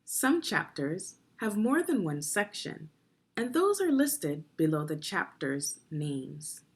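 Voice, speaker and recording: Slow-paced woman reading aloud for children. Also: low-pitched, sing-song. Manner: deliberate, clearly phrased, guiding young listeners with questions. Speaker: teacher narrating a children's read-along video. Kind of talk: instructional read-aloud of a children's nonfiction book.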